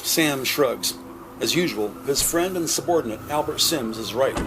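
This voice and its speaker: A man narrating a crime audio drama with scripted, descriptive storytelling in a deliberate, literary manner. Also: mid-range voice, expressive, loud music behind the voice, rough recording.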